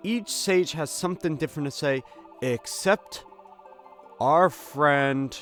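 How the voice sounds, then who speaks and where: A man's voice: a little high, slow, a bit bright. Casual male gamer over game music. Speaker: host of a game playthrough series. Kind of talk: casual let's play commentary. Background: video game music.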